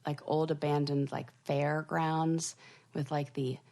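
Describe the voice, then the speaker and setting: A woman co-hosting a podcast, chatting casually and telling animated stories full of fillers. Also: low-pitched, takes it slowly, gravelly. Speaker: podcast co-host. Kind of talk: casual chat.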